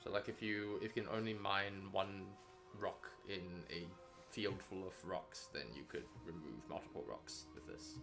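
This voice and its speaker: A man learning software in a casual walkthrough, tentatively thinking aloud and checking his understanding. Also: low-pitched, steady tone, moderate pace, hardly any pauses, music in the background.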